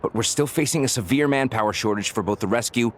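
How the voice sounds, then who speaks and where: A man's voice: medium pitch, fast talker, slight background noise, lightly acted. An actor playing an earnest, deferential male subordinate officer in a video game, formal, respectful and urgent. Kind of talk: video game character dialogue.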